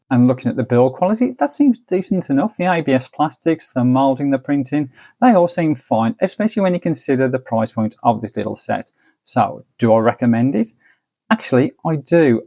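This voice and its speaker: Male reviewer, soft mellow tone, very dry close sound, measured and plain-spoken delivery. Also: mid-range voice, dry recording. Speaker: radio reviewer and video host. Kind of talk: casual product review narration.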